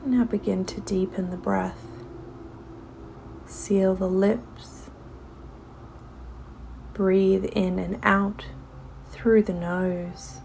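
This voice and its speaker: Female meditation guide, yoga-teacher delivery: soothing, soft and near-whispered at times, very unhurried, with some vocal fry. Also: mid-range voice, steady tone, a little hiss or hum. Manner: unhurried instruction with gentle pauses.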